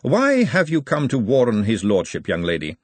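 A man's voice: medium pitch, huge pitch swings, moderate pace, no echo. A man voicing an imperious, disdainful noblewoman in a storybook, formal and pointed in manner. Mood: haughty. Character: haughty, imperious aristocratic lady, sharp and disdainful.

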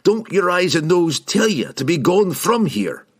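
A furious old dwarf, roars and growls in a raised, strained pitch. The words come slow and heavy, full of stubborn resolve, told like a fantasy storybook. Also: male voice, sing-song, slightly rough, full character voice. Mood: gruff urgent. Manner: roaring, growling, old-fashioned dwarven speech. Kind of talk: audiobook character voice.

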